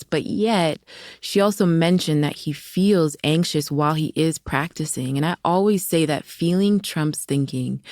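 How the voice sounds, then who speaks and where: A woman with a notably low voice gives calm, earnest advice as a meditation teacher. She speaks steadily and sincerely. The recording is dry and close. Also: dry recording. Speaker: meditation teacher and podcast guest. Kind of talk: casual advice podcast chat.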